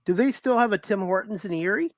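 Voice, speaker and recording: A man's voice: high-pitched, fast talker, no room sound, clean studio sound. A man hosting a sports talk show, chatting casually and informally with a guest and often repeating himself. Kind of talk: casual interview chat.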